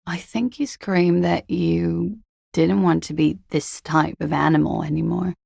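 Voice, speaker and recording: A creaky-voiced, cool young disco dancer. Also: female voice, on the low side, steady tone, a little slow, a little mellow, no echo, exaggerated voice. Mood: glib, cool. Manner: languid, dry, glib politeness. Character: cool, sardonic, world-weary young woman, glamorous disco dancer. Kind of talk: video game character dialogue.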